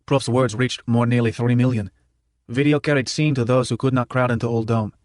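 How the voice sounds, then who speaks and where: A man's voice: mid-range voice, fairly even intonation, fairly quick, a little mellow, no room sound. Male narrator for first-person fiction, clipped telegraphic delivery, dry studio sound. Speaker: audiobook narrator.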